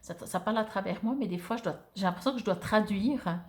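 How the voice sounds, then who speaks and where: A woman with a medium-pitched voice speaks as an oracle card reader addressing her viewers. Her delivery is informal and conversational, with hesitations as she feels her way toward the right words. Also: quick pace. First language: French.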